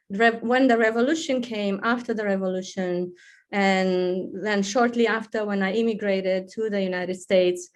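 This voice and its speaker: A woman from Romania, a guest poet, telling her life story in a relaxed way with fillers. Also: mid-range voice.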